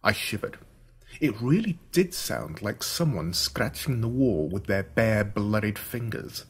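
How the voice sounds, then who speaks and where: Audiobook narrator, male, Australian, lowish register, dramatic and varied in delivery. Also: measured pace, slightly rough. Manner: expressive, dramatic storytelling. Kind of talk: scripted first-person story narration.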